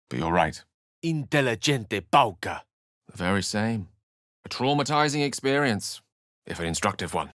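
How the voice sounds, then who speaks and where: A man playing an eloquent, courteous wizard in a video game, articulate and literary in his measured delivery. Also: fairly low pitch, a bit bright, no echo, clean studio sound. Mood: wry, courteous. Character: eloquent, courteous, bookish male human wizard, a wry adventurer. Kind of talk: video game character lines and narration.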